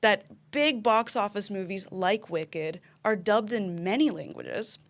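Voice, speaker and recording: A woman's voice: mid-range voice, expressive, unhurried. A young woman hosting a casual interview, enthusiastic and gushing, laughing often. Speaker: show host.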